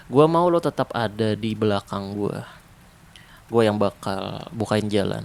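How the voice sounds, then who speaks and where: A man voicing a protective ex-special-forces survivor in a zombie apocalypse, talking softly and calmly in an ASMR roleplay. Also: on the low side, vocal fry. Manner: soft, calm, unhurried, intimate. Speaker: roleplay voice actor.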